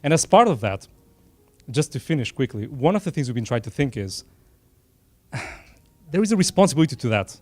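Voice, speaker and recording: A man's voice: medium pitch, big pitch swings, normal speed, long pauses, darkish tone, dry recording. A middle-aged man from Portugal with a Portuguese accent, giving an unscripted, earnest speech at a dinner in a small room, with self-deprecating asides. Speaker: event sponsor speaker. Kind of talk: informal speech at a dinner event.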